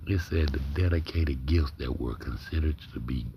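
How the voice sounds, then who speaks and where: A man hosting a livestream with a big deep voice, talking low and dropping to a near-whisper as he reads text aloud, then riffing in loose, profane commentary. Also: muffled recording, background noise. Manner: talks low, often drops to a near-whisper. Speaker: livestream host.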